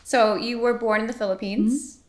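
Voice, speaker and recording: A woman hosting a casual interview show, encouraging and quick to laugh. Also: on the high side, fairly even intonation, reverberant. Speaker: host.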